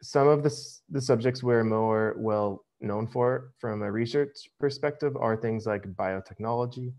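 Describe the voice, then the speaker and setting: A middle-aged American man giving a casual webinar presentation over a video call, relaxed and full of ums. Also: medium pitch, a little slow. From United States. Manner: relaxed, many ums, friendly. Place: home office, video call. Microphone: headset.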